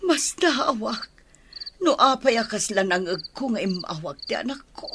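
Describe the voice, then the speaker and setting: A woman's voice: fairly high pitch, lively intonation, moderate pace. An actress playing a worried, grieving mother in an Ilocano radio drama, plaintive and insistent. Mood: worried, reproachful. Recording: clear noise, night insects.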